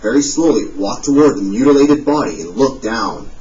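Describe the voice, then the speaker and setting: Man reading a story with lively pitch. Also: fairly quick. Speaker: audiobook narrator. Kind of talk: scripted narration. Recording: dull, distant audio played in a classroom.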